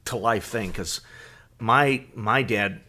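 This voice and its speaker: Low, bright-voiced middle-aged man. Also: takes it slowly. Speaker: podcast co-host. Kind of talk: casual chat.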